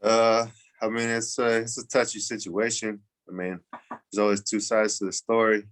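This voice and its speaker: A man co-hosting a sports podcast, talking in a measured, hesitant way with many uhs. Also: fairly low pitch, little pitch movement. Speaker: podcast co-host. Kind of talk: casual sports podcast chat.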